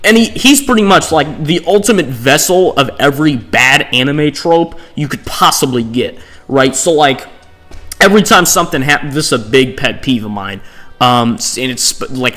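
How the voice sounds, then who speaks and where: A man's voice: mid-range voice, lively intonation, normal speed, sharp tone. A man giving casual, rambling commentary as a host, with an exasperated, hyperbolic way of ranting. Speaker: host ranking visual novel protagonists.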